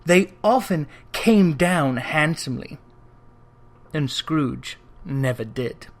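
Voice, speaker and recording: A narrator reading a classic literary story aloud in a dramatic, emphatic way, with deliberate phrasing. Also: medium pitch, lively intonation. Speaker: audiobook reader.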